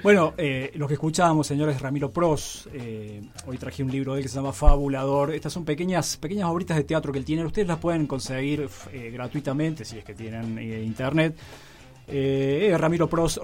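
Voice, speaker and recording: A man with an Argentine accent giving a casual, halting, tongue-in-cheek radio column. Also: mid-range voice, darkish tone.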